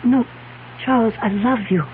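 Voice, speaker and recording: An actress playing a cool, scheming, wealthy fiancée in a 1970s radio drama, insistent and controlled. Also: female voice, on the high side, normal speed, natural voice. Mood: coldly persuasive. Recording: narrow-band old radio recording with hum.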